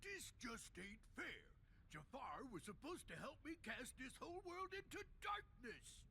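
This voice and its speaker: Gravelly blustering cartoon bully. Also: male voice, very high-pitched, very animated, a little slow, sharp tone, a little hiss or hum, full character voice. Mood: whiny frustration. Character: blustering, whiny cartoon bully henchman, big oaf. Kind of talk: video game cutscene voice acting.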